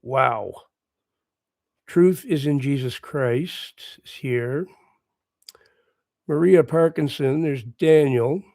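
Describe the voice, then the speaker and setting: A man with a hoarse voice from a recent bout of laryngitis, hosting a casual livestream and chatting quietly and encouragingly with his viewers. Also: mid-range voice, drawn-out words, long pauses, dry recording, studio quality. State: hoarse, recovering lost voice. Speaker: livestream host. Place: at home with morning coffee.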